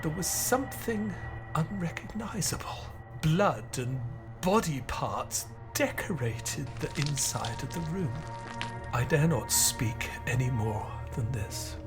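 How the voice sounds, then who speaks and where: An actor playing a sombre Victorian doctor, a man narrating and talking in an audio play in a grave, measured, dramatic way. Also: on the high side, lively intonation. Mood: grave, haunted. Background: background music.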